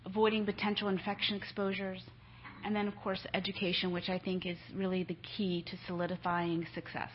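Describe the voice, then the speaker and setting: A woman's voice: mid-range voice, little pitch movement, fairly quick, slight background noise. A middle-aged woman, a nurse, giving a conversational conference talk to families, with many filler ums. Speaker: nurse speaker. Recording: dull lo-fi lecture recording.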